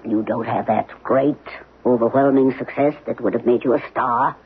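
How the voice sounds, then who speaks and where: An actor playing a calm, frank psychiatrist in a 1970s radio drama, talking in a measured, probing way. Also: male voice, mid-range voice, husky. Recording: lo-fi 1970s radio broadcast, noisy.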